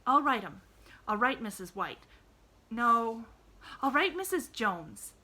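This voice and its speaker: Woman playing a young girl, cheerful, breathless, very high, bright tone. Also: very animated, slow, full character voice. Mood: excited.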